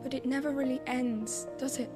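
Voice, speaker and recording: Caring young British noblewoman, female actor, high and soft-spoken, quietly anxious, fantasy game with clear musical underscore. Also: fairly even intonation, moderate pace, over loud music, natural voice. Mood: wistful, worried. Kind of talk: video game cutscene acting.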